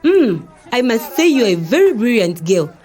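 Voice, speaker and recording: A woman's voice: on the high side, moderate pace. A woman with a Zambian accent playing a chatty, inquisitive middle-aged mother in an educational audio drama, exclaiming and asking questions. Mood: warm, amused. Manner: animated, exclaiming, full of questions.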